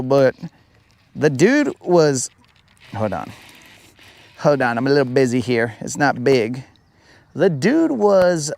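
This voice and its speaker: Chatty man hosting a fishing stream. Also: a little high. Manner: casual, chatty, says "bro" a lot, reads viewer comments aloud. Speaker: fishing livestream host. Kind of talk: live stream chat while fishing. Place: outdoors on a lake, fishing.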